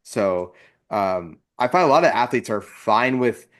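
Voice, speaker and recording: A man with a somewhat low voice co-hosts a podcast. He talks calmly and lays out his points in full, measured thoughts. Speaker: podcast co-host. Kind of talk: casual podcast interview.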